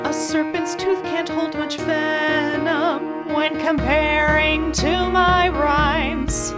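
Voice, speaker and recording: High-voiced, wicked singing diva villain. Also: female voice, steady tone, very slow. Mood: gleefully menacing. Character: theatrical wicked female villain, a vain, murderous diva. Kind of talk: jazzy musical theatre singing. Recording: loud musical accompaniment. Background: jazz music.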